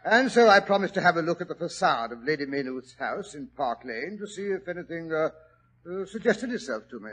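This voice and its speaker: An actor playing a polite, somewhat hesitant middle-aged doctor who narrates in a 1940s radio drama. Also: male voice, a little high, very animated, a little fast, fairly bright tone, slightly rough, full character voice. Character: middle-aged male doctor, Holmes' friend, genial. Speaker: narrator and lead.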